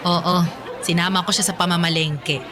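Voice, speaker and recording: A woman's voice: on the low side, fairly even intonation, normal speed, music in the background, close to an everyday voice. A woman playing a busy, practical mother, firm but loving, in a Filipino radio drama. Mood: asking, grateful. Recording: busy crowd noise behind.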